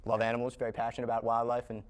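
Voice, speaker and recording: A man's voice: mid-range voice, monotone, rapid-fire. A man in his twenties introducing himself on a dating show in a hesitant, earnest, casual way. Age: 20s. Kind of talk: casual self-introduction and chat.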